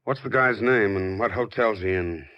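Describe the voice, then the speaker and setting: A hardboiled, wary private eye played by a man with a fairly low voice. His lines are clipped, skeptical and wisecracking, in vintage radio crime style. The recording is dull and very dry. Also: moderate pace, dry recording, natural voice. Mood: wary, bargaining. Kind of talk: 1940s radio crime drama acting.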